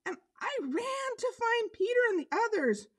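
A woman voicing a puppet Mary Magdalene, earnest and dramatic, telling a children's story with theatrical, drawn-out delivery. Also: very high voice, very animated, fairly bright tone, heavily acted. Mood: sorrowful, hushed.

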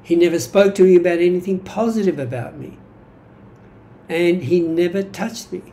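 A man in his seventies with an Australian accent, giving a reflective personal monologue from his notes in a halting, deliberate manner. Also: sits high, dark tone, a little hiss or hum. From Greek-born, grew up in Australia. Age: 70s. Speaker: vlogger sharing personal history. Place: small indoor room at home.

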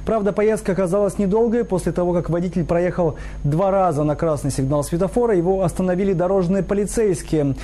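A man reading a TV news lead-in in a brisk, formal way. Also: sits high, dark tone. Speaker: TV news anchor.

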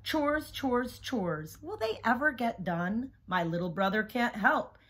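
A woman's voice: on the high side, sing-song, slow, a bit bright, husky, exaggerated voice. A woman voicing an impatient young girl in a picture book, complaining dramatically about having to work. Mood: whiny, fed up. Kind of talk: picture-book character reading.

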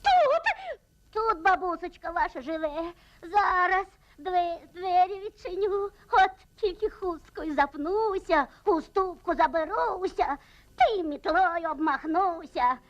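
Sly, high-voiced sweet-talking cartoon fox. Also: female voice, expressive, takes it slowly, highs cut off, full character voice. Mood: falsely sweet. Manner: coaxing, saccharine. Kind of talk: animated cartoon voice acting.

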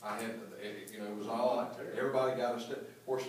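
A council member talks haltingly, restarting his sentences. His voice is mid-pitched. He is heard from far off in a very echoey chamber. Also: flat delivery. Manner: halting, rambling. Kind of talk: meeting discussion.

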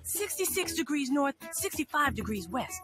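A woman's voice: sits high, big pitch swings, moderate pace, music in the background. A woman playing a wry, capable young female computer operator in a cartoon. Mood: businesslike. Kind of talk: cartoon acting.

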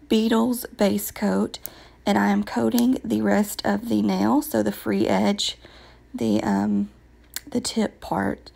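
A woman with a raspy voice from a recent illness, patiently explaining her nail work step by step with frequent ums. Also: medium pitch, darkish tone. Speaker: nail tutorial vlogger. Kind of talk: casual step-by-step nail tutorial voice-over.

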